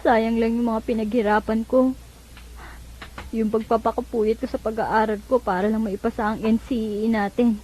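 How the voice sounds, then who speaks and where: A woman's voice: a little high, steady tone, normal speed, a little mellow, lightly acted. An actress playing a sullen, despairing young female student in a Filipino radio drama. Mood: dejected. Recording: dull lo-fi radio drama recording.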